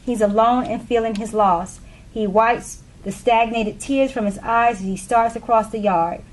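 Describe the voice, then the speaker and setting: A woman's voice: a little high, steady tone, a little slow, rough recording. A woman narrating a play, reading the scripted stage directions aloud. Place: live readers theatre room. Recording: faint background noise.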